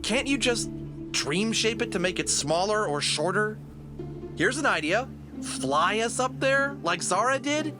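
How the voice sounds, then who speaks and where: A man's voice: high voice, a little slow, music in the background. A man acting in an audio drama as a plucky, sarcastic adventurer who is wary, casual and easily flustered. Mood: incredulous, excited. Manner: wisecracking, excitable, casual.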